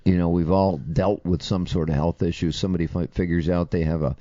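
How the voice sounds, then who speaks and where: A middle-aged man co-hosting a recovery podcast, a little hoarse, talking in a rambling, casual way with many fillers. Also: low voice, fairly even intonation, brisk, warm tone, no room sound, highs cut off. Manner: rambling, lots of fillers and restarts. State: slightly hoarse from yelling. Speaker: podcast co-host.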